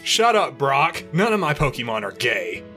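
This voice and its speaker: An exasperated young cartoon trainer, put on by a man, shouts indignantly in a bright, raised voice. His delivery swings widely in pitch, with faint music underneath. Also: very animated, normal speed. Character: exasperated, hot-tempered boy monster trainer.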